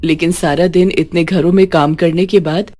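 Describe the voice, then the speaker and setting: A woman's voice: on the low side, fairly even intonation, normal speed, darkish tone. A woman storyteller reading a scripted moral tale as narrator.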